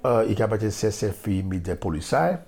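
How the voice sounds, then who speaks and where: A man's voice: on the low side, expressive, moderate pace, creaky, darkish tone. A middle-aged man from Nigeria, a native speaker of Edo, answering interview questions in an explanatory way, repeating words for emphasis. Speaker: interview guest, integration project leader.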